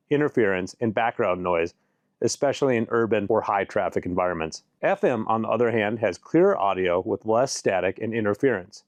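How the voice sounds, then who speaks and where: A man presenting a scripted explainer in clear, measured, instructional phrasing, as if into a close voice-over mic. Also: medium pitch, a little mellow, no echo. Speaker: presenter of a radio shop's explainer video.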